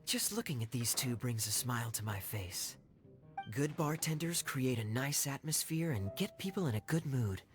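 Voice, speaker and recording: A woman's voice: rumbling low, animated, normal speed, faint music behind. A woman playing a sly, teasing, self-possessed young tavern patron in a video game, with a playful delivery. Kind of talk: game character acting.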